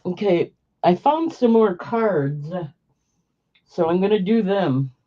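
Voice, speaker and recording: Hoarse, slow-talking woman auctioneer. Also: fairly low pitch, expressive. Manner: unhurried, chatty, stops to cough. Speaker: online auctioneer. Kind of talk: live-stream auction selling. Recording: dull lo-fi live-stream audio.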